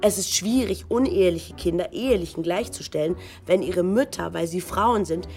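Wry, lower-pitched actress as female radio narrator. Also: normal speed, darkish tone, soft background music, close to an everyday voice. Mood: pointed. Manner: ironic, pointed. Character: wry, needling female narrator commenting on constitutional debates. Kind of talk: radio documentary drama narration.

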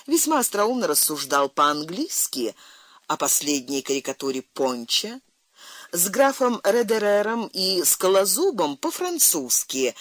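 A narrator reading literary prose in an expressive storytelling style. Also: medium pitch, no room sound. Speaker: audiobook narrator.

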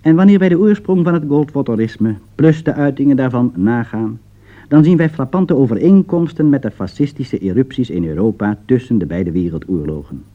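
A man with a Dutch accent narrates in a dull, old radio recording. His medium-pitched voice swings widely as he delivers formal, emphatic commentary in a measured 1960s broadcast manner. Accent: Dutch, from the Netherlands.

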